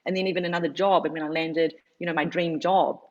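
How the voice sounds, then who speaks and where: A New woman in her thirties with a low voice chats through her story, leaning on "like" and "you know". The audio is dull and lo-fi, like a video call. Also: steady tone, fast, a little mellow, slight background noise. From New Zealand. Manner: chatty, filler-heavy, lots of "like". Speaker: guest. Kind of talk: casual interview storytelling.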